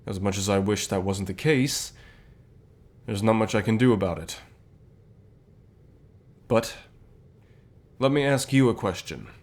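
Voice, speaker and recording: A man playing a calm, reassuring company boss with gentle authority, speaking softly in measured phrases for an ASMR roleplay. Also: on the low side. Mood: gentle, earnest. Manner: measured, soft-spoken, deliberate pauses. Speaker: voice actor in an audio roleplay.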